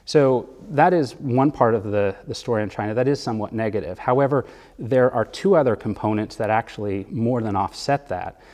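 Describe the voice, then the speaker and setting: A man being interviewed as a bond fund manager, explaining economics in a measured way with occasional fillers. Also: mid-range voice, a little mellow, big-room sound.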